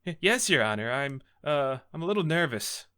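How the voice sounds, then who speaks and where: A man's voice: fairly high pitch, big pitch swings, moderate pace, bright, forceful tone, clean tone. A man playing an earnest, nervous young rookie defense lawyer in a game script, hesitant in his delivery. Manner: hesitant, stammering.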